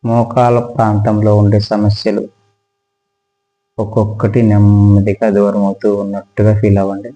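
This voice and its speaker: A man leads guided yoga relaxation in a low, mellow voice. His delivery is slow, calm and very even, leaving pauses between the instructions. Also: highs cut off.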